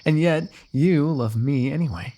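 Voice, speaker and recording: A man playing a lovestruck, eloquent fantasy royal in a romantic ASMR roleplay, talking intimately and poetically with gentle teasing. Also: a little high, unhurried. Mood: breathless, adoring. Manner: intimate, poetic, talkative, softly amused.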